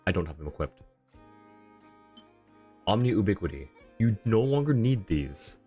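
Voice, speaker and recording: Deep-voiced man chatting on a game stream. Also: sing-song, moderate pace, a little mellow. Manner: conversational, reading chat comments aloud. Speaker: game livestreamer. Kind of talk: casual livestream chat, answering viewers. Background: faint game music.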